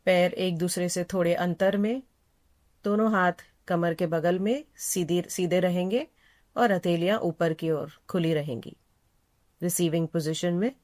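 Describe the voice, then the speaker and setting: A woman yoga instructor leading a guided meditation in a calm, unhurried, soothing manner, repeating phrases gently. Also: lowish voice.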